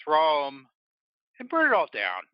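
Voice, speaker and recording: A commanding, ruthless biker gang lieutenant acted by a man in an audio play. He gives menacing orders slowly, with a varied pitch, on a dry recording. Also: huge pitch swings, clean tone, no room sound, dull audio. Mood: cold command.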